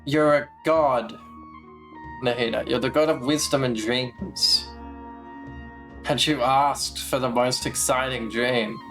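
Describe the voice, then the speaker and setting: Low female voice, casual game stream chat, irreverent and sweary, faint music behind. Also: fairly even intonation, takes it slowly, long pauses, a bit bright.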